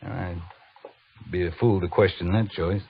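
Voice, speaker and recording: An actor playing a dutiful cavalry captain with wounded pride in an old radio drama, speaking in formal military fashion. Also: male voice, deep voice, fairly even intonation, a little slow, vocal fry, slightly rough, exaggerated voice. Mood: subdued, questioning. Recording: dull old radio recording.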